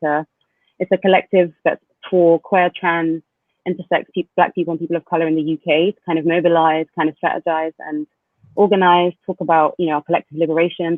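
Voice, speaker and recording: A man's voice: on the high side, dry recording. A man hosting an online panel, talking casually with many fillers and restarts. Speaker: panel host. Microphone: video call. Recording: tinny phone-like line.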